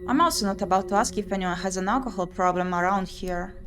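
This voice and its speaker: A woman's voice: medium pitch, fast, natural voice. A woman voicing an uneasy, sardonic young female explorer in a visual novel, with dramatic, wry self-talk. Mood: disgusted, wry. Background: game music.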